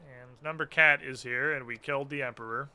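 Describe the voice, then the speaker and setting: A man's voice: mid-range voice, steady tone, fairly quick. A man doing casual gaming commentary in a sarcastic, mock-dramatic and profane way. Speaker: game streamer. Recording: music playing behind. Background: game music.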